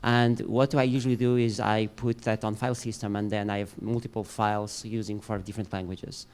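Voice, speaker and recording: A man's voice: lowish voice, flat delivery, fairly quick, darkish tone. A man from Portugal with a Portuguese accent, asking a technical question from the audience and searching for words.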